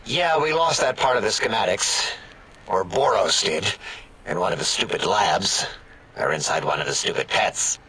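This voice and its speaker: An actor playing a petty, excitable robotic scientist in a video game, snide, sarcastic and quick to bicker. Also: male voice, low-pitched, husky, full character voice, rough recording. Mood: defensive, grumbling. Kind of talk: video game character acting.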